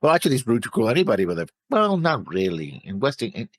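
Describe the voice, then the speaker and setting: A man's voice: mid-range voice, wildly swooping pitch, fast talker, dry recording. A man hosting a reaction show, chatting casually in a rambling, explanatory way. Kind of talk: casual chat.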